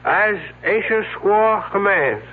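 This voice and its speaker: Casting: slow, ceremonious male mystic, put-on foreign accent, vintage radio drama, tinny band. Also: high voice, lively intonation, full character voice. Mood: cryptic, ceremonious. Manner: solemn, stilted, incantatory. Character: solemn, cryptic male mystic in Mexican costume. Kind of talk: old-time radio drama acting. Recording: thin narrow-band vintage radio recording.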